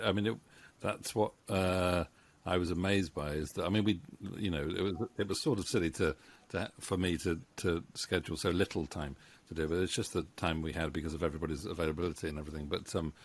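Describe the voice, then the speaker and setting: A middle-aged man interviewing guests in a casual, halting, rambling way into a close microphone. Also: low-pitched. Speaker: interviewer, writer-producer. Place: indoor home room with bookshelves. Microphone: studio microphone on boom arm, close.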